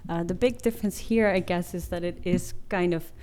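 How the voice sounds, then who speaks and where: A woman's voice: fairly low pitch, steady tone, a little fast, a little mellow. A woman in her twenties giving an informative presentation in an informal, explanatory way. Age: 20s. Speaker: master's student presenter.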